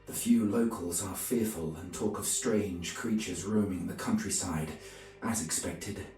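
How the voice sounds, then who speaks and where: A grave, pious knight of the crusades recounts his journey for a medieval video game. His low voice is formal and measured, with a solemn storyteller's weight. It sounds roomy and set back, with music underneath. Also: a little mellow, distant mic. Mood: weary. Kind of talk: scripted dramatic narration.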